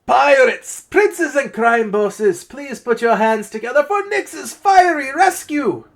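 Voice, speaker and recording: Guy doing a cheeky short dwarf lady, higher voice, circus showoff, storybook fantasy. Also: animated, slow, vocal fry, exaggerated voice. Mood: showy.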